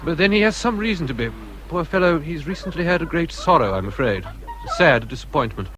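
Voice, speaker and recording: An actor playing a courteous, gallant English naval captain in a period radio drama, formal and full of feeling. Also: male voice, a little high, brisk, lightly acted. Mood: grave, apologetic. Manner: polite, formal, emotionally expressive. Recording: busy outdoor crowd sounds behind.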